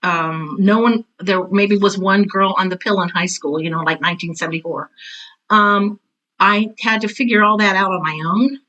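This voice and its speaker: A woman's voice: medium pitch, moderate pace, bright, forceful tone, dull audio. An American woman in her sixties talking candidly as an interview guest, blunt and full of personal anecdotes. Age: 60s. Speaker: interview guest, drama teacher and author.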